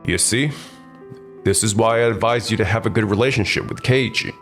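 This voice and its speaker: A man's voice: on the low side, fairly quick, a bit bright, soft background music, lightly acted. A man playing a stern company boss in a manga dub, reproachful and resigned. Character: stern male company boss scolding subordinates. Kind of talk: scripted manga dub acting.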